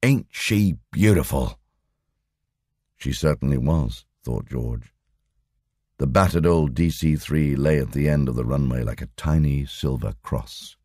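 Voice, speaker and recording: A man narrating a short story as an audiobook in a steady, measured way. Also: rumbling low, no room sound, clean studio sound. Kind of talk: scripted narration.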